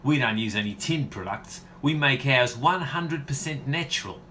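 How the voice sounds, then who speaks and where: A man's voice: medium pitch, lively intonation, moderate pace, heavy background noise, close to an everyday voice. A man playing a flirty, cheekily confident surf instructor in a radio comedy. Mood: cheeky, coaxing.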